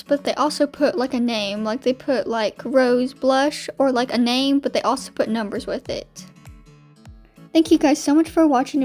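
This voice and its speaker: A young woman chatting casually over a voiceover, filling her talk with "like", her voice slightly hoarse. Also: sits high, a little mellow. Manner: chatty, many "like" fillers. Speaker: art YouTuber.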